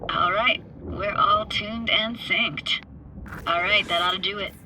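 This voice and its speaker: A woman's voice: medium pitch, steady tone, unhurried, slight background noise, rough recording. A woman playing a wistful, streetwise young tech-savvy diver in a video game, talking in clipped, intimate, slangy sentences. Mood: focused. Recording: loud game music behind.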